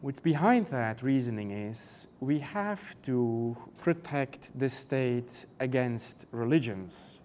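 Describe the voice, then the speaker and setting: Male European-accented academic panelist, mid pitch, measured and full of "uh" fillers, faint background noise. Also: animated, darkish tone. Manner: measured, many "uh" and "um" fillers, rhetorical questions. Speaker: panelist, canon law professor. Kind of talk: conference panel talk.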